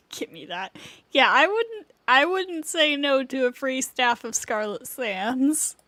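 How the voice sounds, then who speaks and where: A woman's voice: high voice, animated, slow pace, sharp tone, no room sound. A woman casually commenting on a game and reading text aloud as a livestreamer, close on the mic. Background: game music.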